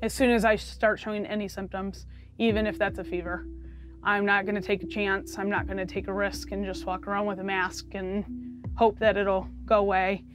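A woman in her thirties, a paramedic, speaking in a plain-spoken, steady, matter-of-fact way in a documentary interview. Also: mid-range voice, normal speed, over music.

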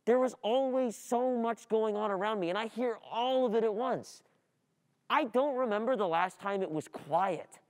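A young adult performer playing an overwhelmed, distracted student in a halting, agitated radio play monologue. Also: mid-range voice, lightly acted. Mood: anxious, overwhelmed.

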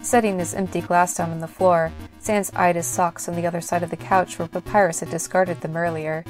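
A woman's voice: lowish voice, little pitch movement, brisk, faint music behind, low-quality recording. A woman reading scripted story narration as the narrator of an audio drama.

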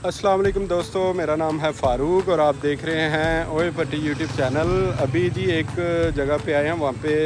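A man, giving a casual, informal walk-around tour outdoors, often urging viewers to look. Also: a little high. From Pakistan. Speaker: vlog host. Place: outdoors, roadside and open farm sheds. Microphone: phone at arm's length. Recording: background music under outdoor phone audio. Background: music.

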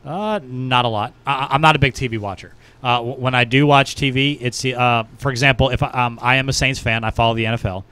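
A man with a clear, smooth voice at a middle pitch talks casually as a podcast co-host. He thinks aloud in a halting way. He is close to a studio mic. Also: fairly even intonation, bright, forceful tone, dry recording. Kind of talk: casual chat.

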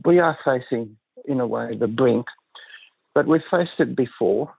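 An older man with a gravelly, husky voice, a guest on an interview show, speaking in a measured, thoughtful way with pauses and restarts. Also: medium pitch, no echo. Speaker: guest psychiatrist. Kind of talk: reflective interview talk. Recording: dry tinny phone-like line.

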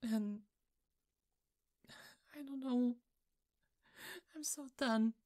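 A woman playing a hurt, fed-up office coworker in an ASMR roleplay, halting and pleading, with pauses and sighs. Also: fairly high pitch, darkish tone, voice pushed up. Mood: upset, pleading. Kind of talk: ASMR roleplay, slow intimate monologue.

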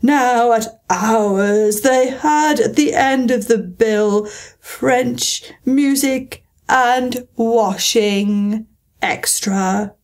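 A woman reading aloud and voicing a mournful male Mock Turtle creature who sighs and sobs as he speaks slowly. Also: fairly high pitch, pitched above the performer's own voice. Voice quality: slow, drawn-out, sighing.

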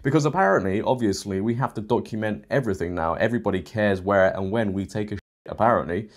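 A man's voice: lowish voice, quick pace. A young British man in his twenties giving mocking, sarcastic reaction commentary to the camera from a small home studio. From Britain. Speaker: commentary host.

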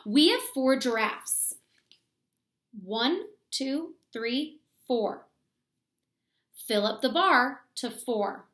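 A woman in her thirties reading a children's book aloud like a teacher, explaining deliberately and asking the young listeners questions. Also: high-pitched, animated, fairly bright tone. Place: small plain room at home, indoors.

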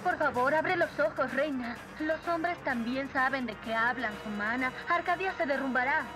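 A woman's voice: high voice, moderate pace, natural voice, rough recording. A woman playing a brave, earnest young warrior with a persuasive manner in a Latin American Spanish cartoon dub. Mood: pleading. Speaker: voice actor in a dubbed cartoon. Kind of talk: dramatic cartoon dub acting. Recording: dubbed cartoon with music underneath.

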